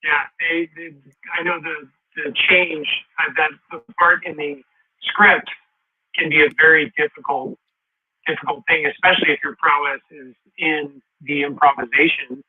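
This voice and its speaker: A man's voice: fairly high pitch, little pitch movement, normal speed, husky, narrow, tinny audio. A man hosting an interview, asking long, rambling questions that are full of restarts. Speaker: talk show host. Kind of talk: casual interview.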